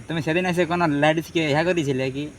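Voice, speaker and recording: A man's voice: on the high side, fairly even intonation, very fast, a little hiss or hum. A man chatting casually as a prank-call host. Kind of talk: casual chat to viewers and on a phone prank.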